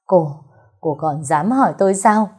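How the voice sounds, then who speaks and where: A woman's voice: lowish voice, animated, unhurried, darkish tone, slightly rough. A woman voicing a furious, wounded middle-aged mother who scolds and accuses in an audio story. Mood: shocked anger.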